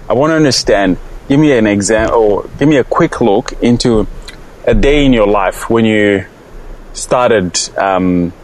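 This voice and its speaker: Low-voiced male interviewer, halting delivery. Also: low-quality recording. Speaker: podcast host, interviewer. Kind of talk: conversational interviewing.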